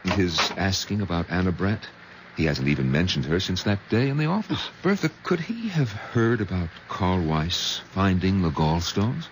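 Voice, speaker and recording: A man playing a concerned, gently probing husband in an old radio drama. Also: low-pitched, expressive, moderate pace. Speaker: son-in-law. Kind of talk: 1970s radio drama acting. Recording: old radio broadcast with clear hiss.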